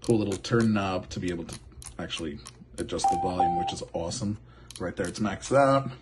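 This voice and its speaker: A man with a low voice talks through a product demo in a casual, off-the-cuff way. He circles back and restarts phrases as he goes. Clear background noise and small clicks sit behind him. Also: brisk. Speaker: product reviewer.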